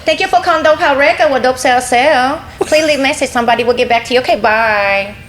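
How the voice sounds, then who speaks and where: Woman doing a fake secretary, high-pitched, sing-song, clipped broken English, chirpy phone manner. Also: fairly quick, fairly bright tone, echoey room, a little hiss or hum.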